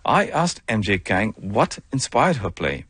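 A man hosting a radio arts segment, reading introductions in a lively presenter manner. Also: fairly low pitch, unhurried, breathy edge, dry recording.

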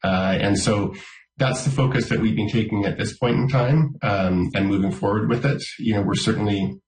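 A man working for a school district, giving measured interview answers with many "uh"s and "um"s. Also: fairly low pitch, darkish tone, reverberant. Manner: measured, filler-heavy explanations.

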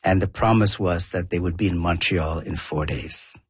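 A man from southern India whose first language is Tamil, telling a story as an interview guest in a halting way with many fillers. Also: sits low, slightly rough, no echo. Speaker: interview guest, documentary maker. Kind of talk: conversational storytelling.

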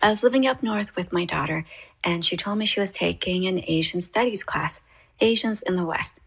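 A woman's voice: on the low side, moderate pace, gravelly, fairly bright tone, husky. A middle-aged woman from Toronto with a Canadian accent, telling a personal story as an interviewed playwright. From Canada, raised in Toronto.

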